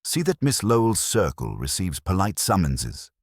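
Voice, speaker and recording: A man voicing an imperious detective boss in an audiobook, with formal, measured, pompous diction. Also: deep voice, wildly swooping pitch, husky, no room sound, studio quality, full character voice. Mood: stern, resolute.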